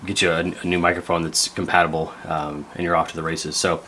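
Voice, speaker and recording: A man with a low-pitched voice hosts a casual repair vlog. He rambles along in a folksy, easygoing way, as if tinkering while he talks. There is noticeable workshop noise behind him. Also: little pitch movement, a little fast, bright, forceful tone. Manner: rambling, folksy, laid-back. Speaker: host of a radio repair video.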